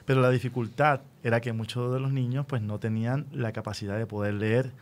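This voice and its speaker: Puerto Rican man hosting over faint rumble. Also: steady tone, a little fast. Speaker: radio host. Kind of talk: casual radio interview. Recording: faint low rumbling noise.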